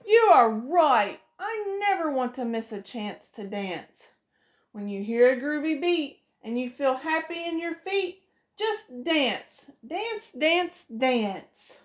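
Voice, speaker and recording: A woman's voice: high-pitched, slow. Sing-song woman reading a picture book. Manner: sing-song storybook reading with lively voiced characters. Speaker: preschool teacher. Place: small room indoors.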